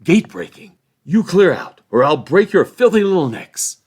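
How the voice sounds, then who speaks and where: Man doing a loud snarling bully ruffian. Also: high voice, very animated, normal speed, breathy edge, voice pushed up, exaggerated voice. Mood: menacing. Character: gruff bullying male ruffian gatekeeper.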